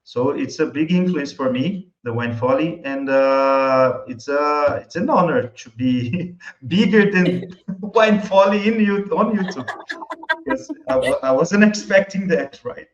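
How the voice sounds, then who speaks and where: Brazilian guy w portuguese accent, native portuguese, slow halting casual chat, kinda echoey room. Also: a little high, animated. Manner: halting, searching for words, often chuckling. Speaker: interview guest, wine YouTube creator. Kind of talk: casual interview talk. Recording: muffled, echoey room sound.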